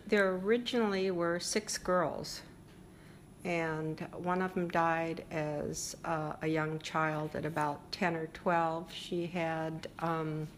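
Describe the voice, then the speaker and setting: An older woman being interviewed about her family history, speaking reflectively and pausing often with fillers. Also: deep voice, a little hiss or hum. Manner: reflective, hesitant with frequent fillers.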